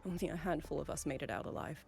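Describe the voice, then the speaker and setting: Young female soldier, video game. Lowish voice, grave, measured, dutiful. Music underneath. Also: big pitch swings, gravelly, full character voice. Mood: grave, urgent. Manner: formal, grave, measured delivery. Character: earnest young woman soldier, last survivor of her squad. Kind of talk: dramatic video game voice acting.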